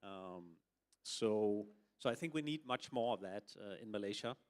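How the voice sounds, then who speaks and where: A man's voice: on the low side, lively intonation, moderate pace. A middle-aged man from Denmark, a building consultant speaking on a forum panel, with many hesitations and filler sounds. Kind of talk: panel discussion answer.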